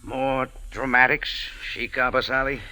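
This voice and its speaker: An actor playing a wry, tough adventurer in an old radio drama, sardonic and punchy in dialogue and narration. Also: male voice, mid-range voice, little pitch movement, unhurried, pushed and bright, a little hiss or hum. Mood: wry, cool. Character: wry, tough hard-boiled adventurer hero. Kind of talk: 1940s-50s radio detective acting and narration.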